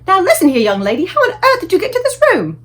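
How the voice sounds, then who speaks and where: Woman playing an indignant, loud middle-aged maid. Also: very high-pitched, huge pitch swings, quick pace, pitched above the performer's own voice, heavily acted. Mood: angry, indignant. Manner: scolding, emphatic.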